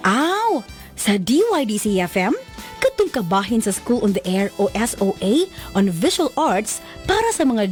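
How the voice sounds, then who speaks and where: A woman playing a friendly, teasing young radio fan in a radio drama, animated and full of exclamations. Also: mid-range voice, lightly acted.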